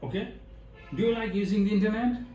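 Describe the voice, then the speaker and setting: Man explaining the test slowly. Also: high-pitched, steady tone, mellow, dull audio, faint music behind. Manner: slow, instructive, repeating phrases. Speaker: IELTS examiner, teacher. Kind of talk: mock speaking test. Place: exam room indoors. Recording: echoey room, faint ambience.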